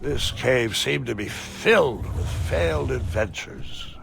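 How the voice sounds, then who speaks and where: A man playing a whimsical old grandfather who tells his adventures theatrically in a storybook-style game, with a gravelly voice. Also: mid-range voice, lively intonation, slow, noisy background, exaggerated voice. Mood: storytelling.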